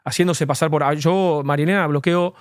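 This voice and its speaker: A man's voice: a little high, fairly even intonation, fairly quick, dry recording, studio quality. A man, an immigration lawyer, answering interview questions earnestly and at length, with frequent fillers.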